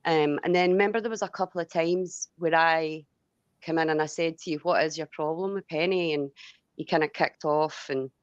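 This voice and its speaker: A woman's voice: sits low, steady tone, moderate pace, clear, resonant tone, no echo, muffled recording. A Scottish woman venting in a casual, blunt, rambling way with plenty of swearing. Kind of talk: casual heated venting chat.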